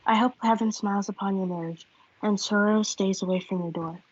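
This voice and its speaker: A woman's voice: medium pitch, fairly even intonation, a little fast, no room sound, lightly acted. A young woman reading a cautious, fatherly friar in amateur reader's theatre. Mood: solemn. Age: teenager. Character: cautious fatherly male friar giving counsel. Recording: dull lo-fi audio.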